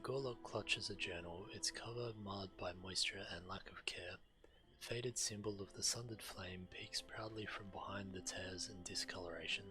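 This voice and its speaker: A man with a fairly low voice narrates a game stream, reading quest text aloud and adding his own commentary. Clear game music plays behind him. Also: fairly even intonation, normal speed, poor audio. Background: video game music.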